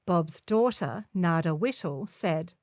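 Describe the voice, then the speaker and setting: A woman's voice: on the low side, expressive, slow pace, a little mellow, dry recording, clean studio sound. A woman reading a scripted feature article as a volunteer newspaper narrator. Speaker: volunteer reader of a community newspaper.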